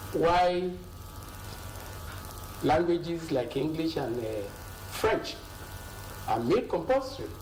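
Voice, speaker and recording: An older man with a Nigerian accent, a linguistics professor speaking as a studio guest in a slow, measured way with many pauses. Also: a little high, darkish tone. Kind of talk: studio interview. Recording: roomy and a bit distant, faint noise.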